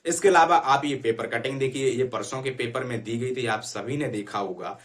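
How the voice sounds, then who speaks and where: A man's voice: medium pitch, normal speed. A man explaining things informally to students as an online exam-prep educator, urging them directly and often checking that they follow. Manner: repeatedly checks understanding with short tag phrases.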